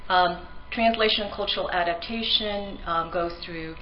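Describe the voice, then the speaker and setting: A woman's voice: lowish voice, normal speed, highs cut off, slight background noise. A woman researcher giving a conference presentation in an earnest manner, with frequent ums and restarts; her first language is Tagalog.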